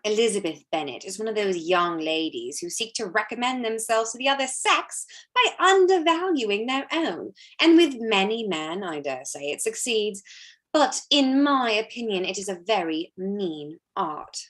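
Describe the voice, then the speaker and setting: Woman as young society lady, haughty, affected, animated intonation, theatrical period drama. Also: medium pitch, normal speed, lightly acted. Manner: arch, affected, gushing.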